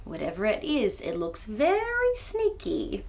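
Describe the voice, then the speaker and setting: A woman hosting a children's storytime, reading a picture book aloud in an expressive, theatrical way and chatting to young viewers. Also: mid-range voice, slow pace, creaky, background noise. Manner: expressive, theatrical, with gasps and exclamations.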